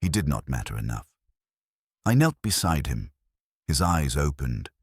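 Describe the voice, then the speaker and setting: A man with a husky, gravelly voice narrating a dramatic scripted story in a solemn, measured way, in short clipped phrases. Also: extremely low pitch, very animated, no room sound, studio quality. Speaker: narrator of lore stories.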